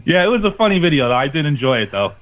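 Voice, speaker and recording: A man's voice: a little high, very animated, very fast, crowd noise. A man speaking as a guest in a casual interview, talking about his sports and comedy radio network. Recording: thin phone-like radio call-in line.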